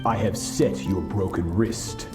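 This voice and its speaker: A cold, ruthless cosmic warlord, the kind of voice that belongs in a game cutscene. He speaks slowly, gravely and with contempt, in a low register. Loud music fills the echoey space around him. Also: steady tone. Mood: menacing.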